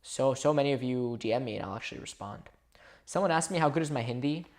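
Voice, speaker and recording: A man's voice: mid-range voice, expressive, fast, a little mellow. Rambling young-sounding male streamer. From India. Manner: rambling, restarts, chatty asides to viewers. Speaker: livestreamer. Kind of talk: casual live-stream chat.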